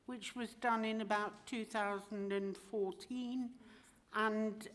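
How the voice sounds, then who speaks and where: Slow, hesitant woman councillor. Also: medium pitch, fairly even intonation. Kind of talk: council meeting statement.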